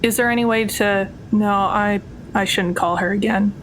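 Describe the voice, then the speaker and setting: A wry thief played by a woman talks with resignation and some hesitation. It is a tabletop game performance in a medium-pitched female voice. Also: fairly even intonation, moderate pace, a little hiss or hum, natural voice, low-quality recording.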